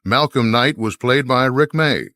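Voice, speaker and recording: A man's voice: medium pitch, measured pace, bright, forceful tone, no echo. A man announcing scripted credits for a radio drama.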